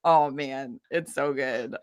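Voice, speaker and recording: A woman's voice: a bass voice, slow pace, darkish tone. A woman musician being interviewed, talking in a sunny, bubbly and informal way. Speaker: interview guest, singer-songwriter. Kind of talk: casual interview chat.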